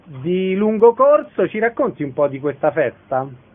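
A man's voice: high voice, very animated, a little slow. A man hosting a radio interview, introducing and questioning guests. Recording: muffled band-limited radio broadcast sound.

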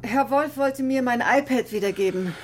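An actress playing a bitter, sharp-tongued woman doctor in a radio drama, with a sardonic, controlled, defensive manner. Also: on the high side, normal speed, slight background noise, close to an everyday voice. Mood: wary, polite. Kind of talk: radio drama acting, confessional narration and dialogue.

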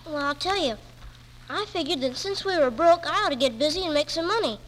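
Scrappy high-voiced newsboy, noisy old radio. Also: female voice, lively intonation, a little fast. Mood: earnest, chatty. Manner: slangy, streetwise kid talk. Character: scrappy, loyal young boy selling newspapers. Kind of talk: 1940s radio drama acting. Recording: noisy old radio broadcast, hall reverb.

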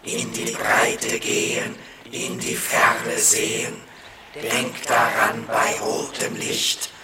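Distant, echoing and buried in heavy noise, a male actor plays an impersonal city voice. It chants slogans and commands at a very slow, incantatory pace, a man's voice in an old radio drama. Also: medium pitch, level tone, natural voice. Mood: cold, insistent. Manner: slow, incantatory, clipped phrases. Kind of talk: radio play chorus of slogans. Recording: echoey, distant, very noisy old radio production.

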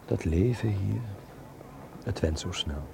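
An actor playing a shy, naive young man in a radio drama, husky and creaky, speaking with hesitant courtesy. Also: sits low, takes it slowly, a little mellow, a little hiss or hum, heavily acted. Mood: hesitant, defensive.

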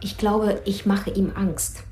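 A woman's voice: medium pitch, little pitch movement, takes it slowly, mellow, a little hiss or hum, natural voice, low-quality recording. An actress playing a tough, guarded young policewoman who answers in terse, controlled phrases in a radio drama. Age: young adult.

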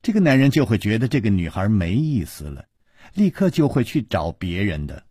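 Wuxia storybook princess read by a man: pouting, cool, needling, husky delivery with lively swoops. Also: lowish voice, normal speed, no echo, studio quality, voice pushed up. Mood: cold. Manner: cool, sneering, pointed. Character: sardonic, pouting young princess, cool and teasing. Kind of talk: Chinese wuxia storybook character voice.

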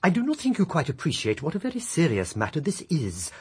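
A man's voice: a little high, huge pitch swings, fast, darkish tone, full character voice. A man playing a polished, persuasive British diplomat in a radio drama, with an English accent and a formal, emphatic manner. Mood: grave, formal. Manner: smooth, formal, emphatic, circumlocutory.

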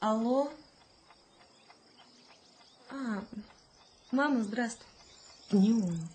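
An actress playing a wistful, romantic young pregnant woman in a radio play, chatty and slightly plaintive, with a slightly husky voice. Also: a little high, darkish tone. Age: young adult.

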